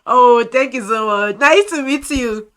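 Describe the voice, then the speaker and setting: A young Nigerian woman with a Nigerian accent, chatting with strangers on a video app and reacting on her phone, loud and laughing. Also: a little high, a little slow. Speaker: vlogger. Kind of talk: casual video chat commentary.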